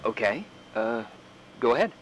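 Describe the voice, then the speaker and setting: A man's voice: on the high side, very animated, normal speed, vocal fry, slight background noise, exaggerated voice, low-quality recording. An actor playing a casual, wry and mildly skeptical man in naturalistic game dialogue, offhand and hesitant, a little evasive. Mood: awkward, casual.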